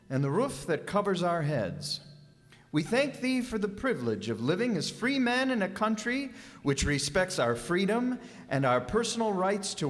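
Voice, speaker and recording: A man voicing a genial, firm father in a live old-time radio play. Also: high-pitched. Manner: genial, mock-stern. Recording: live stage recording in a hall.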